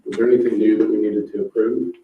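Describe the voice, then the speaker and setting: A man with a very low voice chairs a town board meeting in an informal way. He sounds distant from the microphone in an echoey room, and the sound is slightly muffled. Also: fairly even intonation, fast talker, a little hiss or hum. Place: indoor public meeting room.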